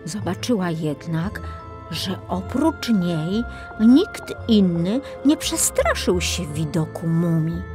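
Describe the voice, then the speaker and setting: A woman narrating a scripted children's story in an expressive, suspenseful way. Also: lowish voice, takes it slowly, over music.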